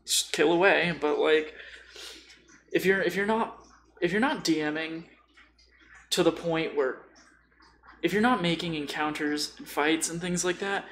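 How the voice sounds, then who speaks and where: Guy with a slightly high creaky voice rambling casually about tabletop games, bit of background noise. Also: a little slow, long pauses, fairly bright tone. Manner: informal, rambling with tangents. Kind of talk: casual chat about tabletop role-playing.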